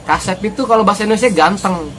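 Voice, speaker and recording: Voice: medium pitch, very fast, a bit bright, faint music behind. A channel host from Bogor with a Sundanese accent, talking in a casual, joking, rambling way and often tagging on little questions. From Bogor, West Java. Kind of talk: casual chatty vlog.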